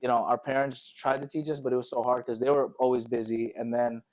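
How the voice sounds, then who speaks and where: A man's voice: medium pitch, flat delivery, fast, darkish tone, low-quality recording. An American man chatting casually and telling stories in a slangy, informal way, often with a chuckle. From Connecticut, United States, later Indonesia. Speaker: podcast host telling his life story. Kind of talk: casual conversational storytelling.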